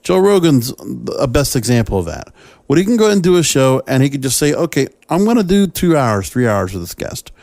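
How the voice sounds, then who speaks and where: A man's voice: medium pitch, very animated, a little fast, darkish tone, no room sound. A man hosting a podcast solo, giving opinionated commentary in a conversational, emphatic, somewhat rambling way with frequent check-ins to the listener. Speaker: podcast host. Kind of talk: opinionated solo commentary, part reading from notes.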